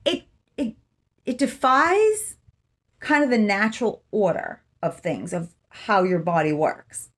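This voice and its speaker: A woman's voice: medium pitch, animated, slow pace. A middle-aged woman giving casual true-crime commentary to camera, conversational and thinking aloud, recorded on a phone at arm's length in a room at home. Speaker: vlogger. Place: small room indoors, seated in an armchair.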